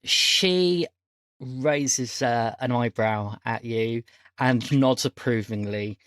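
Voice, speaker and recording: Unhurried woman game master, dry sound. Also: rumbling low, no echo. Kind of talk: narrating a tabletop roleplaying game.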